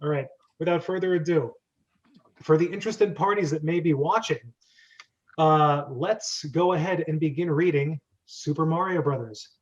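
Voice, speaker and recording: A man with a fairly high voice hosts a script reading club. He chats casually and jokes along, and the audio is a little dull and muffled. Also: normal speed. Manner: joking, chatty. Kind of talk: casual hosting chat.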